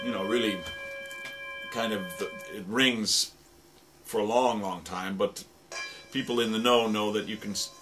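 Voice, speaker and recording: A man giving an informal, halting and rambling demonstration of sound-effect instruments, as a radio drama producer. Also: fairly low pitch, steady tone, a bit bright, faint music behind. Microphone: stereo microphone. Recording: noisy recording with stereo microphone.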